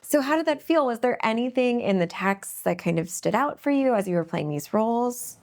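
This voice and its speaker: A woman's voice: fairly high pitch, expressive, moderate pace, darkish tone, a little hiss or hum. A woman reading stage directions at a table read and hosting the talk afterwards. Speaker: host and narrator.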